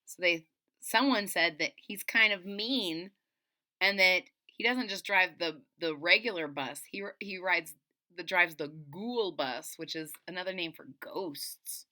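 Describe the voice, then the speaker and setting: A woman's voice: on the low side, a little slow, bright, forceful tone. A middle-aged woman reading a picture book aloud to children, expressively, with explanatory asides and dramatic emphasis. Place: small indoor room at home.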